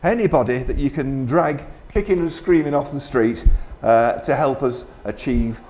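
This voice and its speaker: A man's voice: medium pitch, normal speed, darkish tone. A man, presenting and narrating for community radio.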